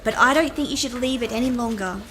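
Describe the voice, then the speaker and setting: A woman playing a frank, level-headed government aide in an audio drama, measured and matter-of-fact. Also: a little high, noisy background, close to an everyday voice. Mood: frank, reassuring.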